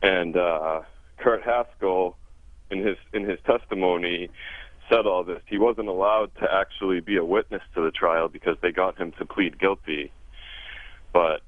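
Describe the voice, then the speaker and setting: A man's voice: low voice, fairly even intonation, normal speed, dry recording. A man calling in to a talk show over the telephone to give his opinion. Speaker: phone-in caller. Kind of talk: opinionated call-in remarks. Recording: narrow, dry telephone line.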